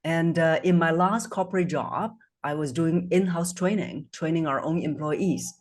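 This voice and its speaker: A woman in her forties, a voice coach based in Singapore, answering interview questions in a measured, earnest way, over a video call. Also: deep voice.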